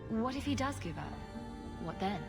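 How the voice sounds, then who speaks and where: A woman's voice: on the high side, big pitch swings, normal speed, creaky. An actress playing a confident, sarcastic female rogue in a video game, with a dry, teasing way of talking. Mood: wry, teasing. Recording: reverberant hall, music underneath.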